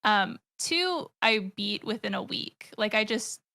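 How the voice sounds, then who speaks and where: A woman's voice: medium pitch, lively intonation, measured pace, creaky, pushed and bright. An American woman in her thirties, a guest on a podcast, chatting enthusiastically and rambling with lots of fillers and restarts. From United States. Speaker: podcast guest, comic artist and streamer. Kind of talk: casual podcast chat.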